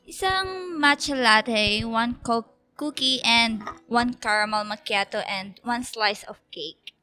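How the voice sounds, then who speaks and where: High-voiced, chatty young best friend. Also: female voice, slow pace, pushed and bright. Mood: breezy, friendly. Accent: Filipino. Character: chatty, pushy young woman, loyal matchmaking best friend. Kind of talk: Filipino radio drama acting.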